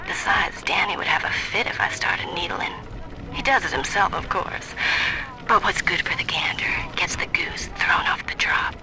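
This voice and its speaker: A woman's voice: on the low side, moderate pace, noisy background, natural voice. A woman playing a brassy, jaded streetwalker in a slangy video game monologue. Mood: jaded, amused. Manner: slangy, folksy.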